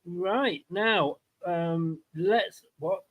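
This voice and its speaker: A man's voice: high-pitched, drawn-out words, lo-fi sound. A man hosting a casual football livestream in a jokey, teasing way, given to laughing as he talks. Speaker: host of a football fan channel show.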